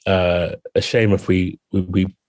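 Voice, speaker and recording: A man with a deep voice talks through his ideas in a conversational interview. His delivery is level, with little melodic movement, and the recording is close and dry. He explains thoughtfully, qualifying points as he goes. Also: little pitch movement, unhurried, a little mellow, no echo. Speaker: game designer, podcast guest. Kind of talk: conversational interview answers, explaining game rules.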